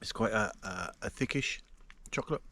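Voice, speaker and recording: A man's voice: lowish voice, normal speed, sharp tone. An English man with a blocked nose from hay fever, co-hosting a camping vlog outdoors and searching hesitantly for words. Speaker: vlog co-host. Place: outdoors in woods at a campsite.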